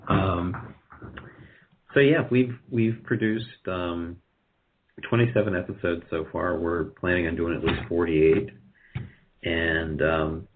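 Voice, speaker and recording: A man being interviewed as a guest, talking casually and hesitantly, with many filled pauses. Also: sits low, fairly even intonation, a little hiss or hum. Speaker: radio drama producer, interview guest. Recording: muffled, lo-fi call audio.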